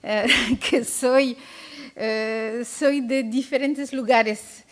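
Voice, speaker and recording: A woman opening a conference talk, hesitant and full of pauses. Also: a little high, fairly even intonation. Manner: hesitant, many fillers. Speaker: panel speaker.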